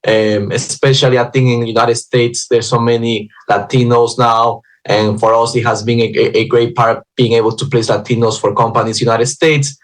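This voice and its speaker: A recruiter on a panel giving job-hunting tips to listeners. His voice stays very flat, with hardly any rise or fall, and he speaks in a steady, advising manner with occasional fillers. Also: medium pitch. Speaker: recruiter at staffing agency. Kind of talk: panel discussion.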